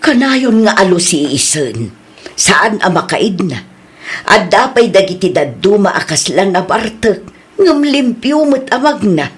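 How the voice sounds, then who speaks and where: A woman's voice: mid-range voice, big pitch swings, measured pace. A woman playing a worried elderly mother who pleads and scolds, in an Ilocano radio drama.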